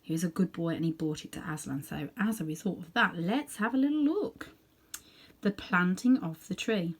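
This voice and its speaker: A woman reading a children's book aloud in an animated, dramatic way, sometimes softening toward hushed tones. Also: on the low side, moderate pace, husky. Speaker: storybook reader. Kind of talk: expressive read-aloud narration for children.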